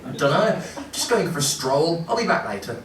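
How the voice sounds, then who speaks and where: A man's voice: a little high, very animated, normal speed. Man playing an offhand game pony, distant and echoey. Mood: casual, offhand. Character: casual, breezy male pony heading out for a stroll. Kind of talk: game dialogue. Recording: echoey room, distant mic, background noise.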